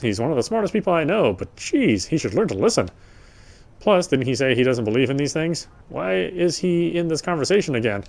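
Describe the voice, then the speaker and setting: A man's voice: fairly high pitch, sing-song, brisk, gravelly, darkish tone. A man doing a let's play, reading game text aloud and adding casual, sardonic commentary. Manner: sardonic, informal. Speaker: let's player.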